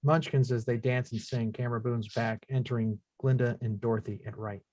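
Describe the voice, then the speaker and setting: Male narrator for script action lines, mid-pitched, plain and factual, very dry recording. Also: fairly even intonation, brisk, darkish tone, dry recording. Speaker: stage-directions reader. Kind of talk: scripted narration of screenplay action at a live table read.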